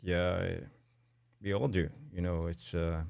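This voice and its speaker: A man co-hosting a live football fan stream, speaking casually and haltingly, quieter and more distant on the line. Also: deep voice, level tone. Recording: clearly noisy, quiet distant-sounding line.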